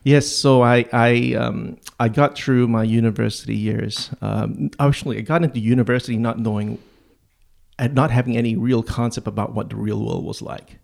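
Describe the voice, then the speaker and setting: Middle-aged man from Malaysia, interview guest, gravelly tone, rambling with frequent restarts. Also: mid-range voice, fairly even intonation, normal speed, darkish tone. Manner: rambling and self-correcting, with light laughs. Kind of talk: casual interview, telling his life story.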